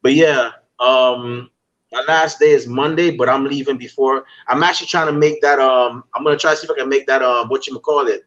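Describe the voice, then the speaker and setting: A man's voice: medium pitch, fairly quick. Man, young host from Guyana, playful slangy chatter, dull lo-fi sound. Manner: animated, playful, full of slang. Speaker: podcast host. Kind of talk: casual hype interview.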